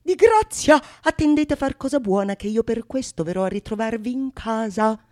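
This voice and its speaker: Vain male fool in love, pompous theatrical delivery, high voice played by a woman. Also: animated, moderate pace, a little mellow, close to an everyday voice. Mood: impatient, eager. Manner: pompous, self-satisfied. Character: vain, lovesick male fool boasting of his poetry. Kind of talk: theatrical radio monologue.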